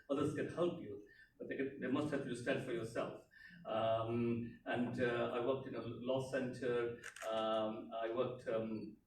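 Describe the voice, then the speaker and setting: A man's voice: mid-range voice, a little mellow. A middle-aged man from Tanzania, an elected politician, giving an unscripted speech at a community event, hesitant with many fillers and restarts. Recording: echoey room, mic far off, background noise.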